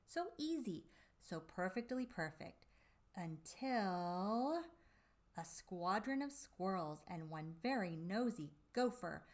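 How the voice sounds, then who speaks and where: Slow, animated woman reading a storybook. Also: mid-range voice. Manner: theatrical, drawn-out emphasis with dramatic pauses. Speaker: storybook reader for children. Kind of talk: expressive read-aloud of a children's picture book.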